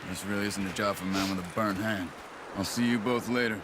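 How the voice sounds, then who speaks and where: An actor playing a calm, stoic outlaw hunter in a video game, speaking in a measured, understated way. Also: male voice, on the low side, noisy background, natural voice. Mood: stoic, modest. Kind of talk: video game cutscene acting.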